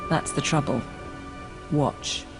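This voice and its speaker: An actress playing a pragmatic Imperial military officer in a space opera game, businesslike and dry. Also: female voice, sits low, slow, over loud music. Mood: concerned. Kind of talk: video game cutscene acting.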